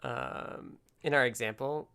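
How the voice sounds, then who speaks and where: A man with a creaky, gravel-edged voice at a middling pitch, hosting a casual programming livestream. He thinks aloud in halting bursts, often muttering to himself as he works at his desk. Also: pushed and bright. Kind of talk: casual live coding stream, thinking aloud. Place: indoors at a desk with computer.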